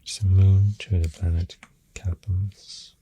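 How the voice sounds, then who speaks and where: A soft-spoken ASMR host with a Swedish accent, talking in a hushed, breathy, partly whispered voice, halting and gentle, close to the microphone. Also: low-pitched, level tone, a little hiss or hum.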